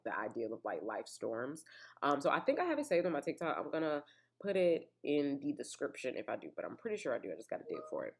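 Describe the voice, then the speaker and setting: A woman hosting her own podcast in a casual, chatty way, full of asides to listeners and still a little congested. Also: very deep voice. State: slightly congested with a cough. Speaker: podcast host. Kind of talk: casual solo podcast chat.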